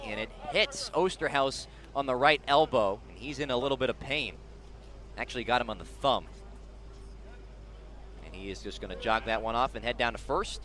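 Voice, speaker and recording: A man's voice: mid-range voice, pushed and bright, a little hiss or hum. A man doing live baseball play-by-play on the radio from the ballpark, in clipped calls that rise with the action. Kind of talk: live sports radio commentary. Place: outdoors at a ballpark.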